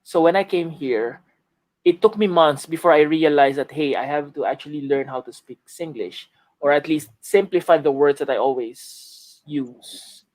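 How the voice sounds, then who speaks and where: A man's voice: a little high, normal speed, a little mellow. A man hosting a panel discussion, asking follow-up questions in a conversational way. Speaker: host.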